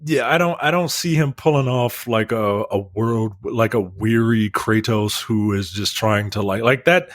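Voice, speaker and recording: A man chatting casually as a podcast co-host, talking in a halting, rambling, informal way with some swearing. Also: lowish voice, no echo. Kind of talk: casual movie and game news chat.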